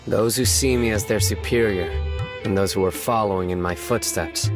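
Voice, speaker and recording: An actor voicing a stern, reserved young lawman in a video game, speaking in a solemn, measured way. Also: fairly low pitch, lightly acted. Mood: earnest, reflective. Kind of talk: scripted video game character dialogue. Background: music.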